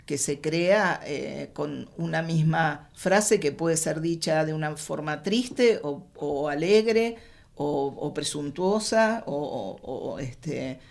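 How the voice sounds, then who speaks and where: Low-voiced Argentine woman, halting and reflective. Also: gravelly, darkish tone. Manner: halting, searching for words, emphatic. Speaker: radio theatre specialist, interview guest. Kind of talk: reflective spoken interview answers.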